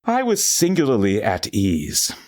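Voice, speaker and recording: A man's voice: fairly low pitch, huge pitch swings, measured pace, darkish tone. A man performing a dramatic gothic horror audiobook as a boastful, paranoid madman confessing to murder, with theatrical, intense emphasis. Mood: gleeful, confident.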